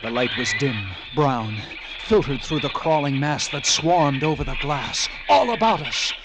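An actor playing a haunted lighthouse keeper who narrates his tale of terror in intense classic radio drama style, with halting, suspenseful pauses. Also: medium pitch, heavy background noise, lightly acted. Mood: horrified. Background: loud dramatic music.

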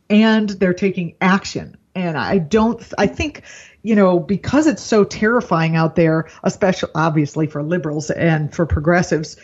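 Woman comedian guest, earnest and rambling, muffled lo-fi line. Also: fairly low pitch. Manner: earnest, rambling, quick to laugh. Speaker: comedian and news anchor, guest. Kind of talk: casual political chat. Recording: dull lo-fi remote line.

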